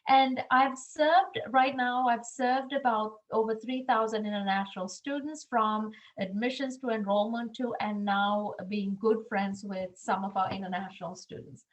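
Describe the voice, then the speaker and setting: A woman's voice: fairly high pitch, steady tone, normal speed, few pauses, low-quality recording. A middle-aged woman from Sri Lanka, a university admissions staff member, giving an earnest webinar presentation over a video call with frequent ums.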